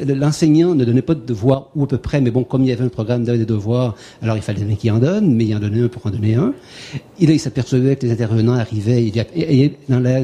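A man with a Québécois French accent speaks up at an academic discussion. His voice is very dark and mellow, and his talk wanders through anecdotes, repeating words while he hunts for the next one. A faint noise sits behind him. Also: mid-range voice. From Canada, Quebec. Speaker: conference participant asking a question.

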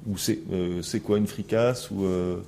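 A man's voice: deep voice, steady tone, unhurried, crowd noise. A middle-aged man lecturing a class, thinking aloud with many fillers and restarts and putting questions to the students. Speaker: teacher. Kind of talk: classroom lecture analyzing a recorded interaction.

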